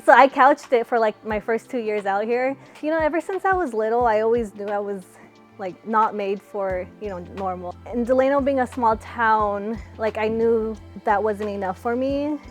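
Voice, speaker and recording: A woman's voice: a little high, moderate pace, faint music behind. Chatty young California woman. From California, USA. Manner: relaxed, chatty, many fillers and laughs. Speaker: interviewee, fighter. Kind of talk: casual interview answers.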